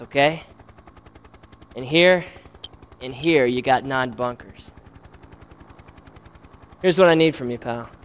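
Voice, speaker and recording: A man acting as a brash military field officer in a video game. His voice is clear and a bit high, and he briefs in a breezy, slangy, wisecracking way. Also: natural voice.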